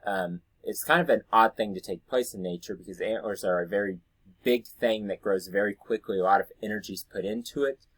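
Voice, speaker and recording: A man's voice: fairly low pitch, fairly quick, dry recording, poor audio. A man giving an informal instructional talk, explaining as a teacher would and thinking aloud as he goes. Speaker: science teacher educator presenting to teachers.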